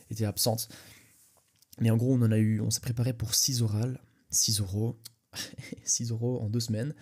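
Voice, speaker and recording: A young man with a slightly low voice talks through a head cold, sniffling and congested. He rambles casually, restarting sentences and speaking directly to his listener as a solo podcast host. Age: young adult.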